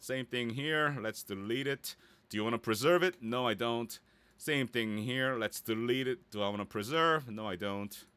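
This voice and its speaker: Bright-voiced, animated man leading a casual tutorial. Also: medium pitch, no room sound. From Korea or Korean heritage, based in Los Angeles. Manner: playful, chatty, tosses in silly interjections. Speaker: voice actor leading a website tutorial. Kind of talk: casual live screen-share tutorial. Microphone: close studio microphone in a dry home studio.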